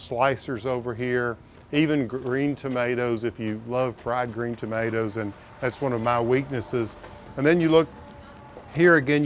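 A man's voice: mid-range voice, a little hiss or hum. A middle-aged man hosts a garden show outdoors at a farmer's market, talking enthusiastically and directly to viewers on a lapel mic. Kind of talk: on-location garden tour narration.

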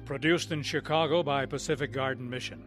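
A man narrating a scripted radio drama in a measured, solemn, theatrical old-time announcer manner. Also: medium pitch, music in the background.

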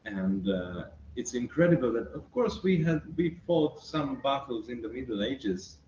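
A young Hungarian man with a Hungarian accent, a researcher answering interview questions thoughtfully, pausing often with fillers, recorded by a camera across an office room by a window. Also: medium pitch, lively intonation, a little mellow. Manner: thoughtful, with many pauses and fillers. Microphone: far camera. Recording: roomy, distant, muffled, faint hiss.

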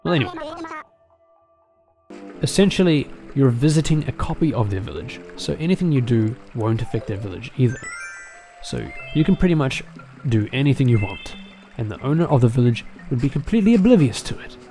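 A man talks casually through a video game in a dryly joking way. His pitch moves around in a lively fashion at a middling height, with light music playing behind him. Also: brisk. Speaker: gaming video narrator. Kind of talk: casual gameplay commentary.

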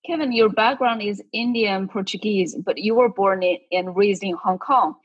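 A woman's voice: medium pitch, normal speed, slightly rough, muffled recording. A woman hosting a podcast interview, asking direct questions. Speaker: podcast host.

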